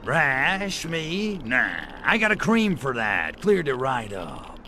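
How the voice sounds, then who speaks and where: A man's voice: medium pitch, sing-song, takes it slowly, pushed and bright, slight background noise, heavily acted. A man playing a cocky, wisecracking alien invader in a comedic video game, sardonic and flippant like a tough guy. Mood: joking.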